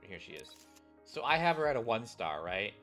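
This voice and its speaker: Low-voiced man streaming a game. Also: very animated, normal speed, fairly bright tone. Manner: informal, rambling, addresses viewers. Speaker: gaming streamer. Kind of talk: casual game commentary and reading aloud of story text. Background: game music.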